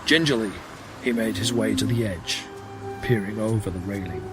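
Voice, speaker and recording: A man's voice: lowish voice, normal speed. A man reading dramatic scripted narration for a science fiction audio drama. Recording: prominent music bed under the voice. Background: loud music.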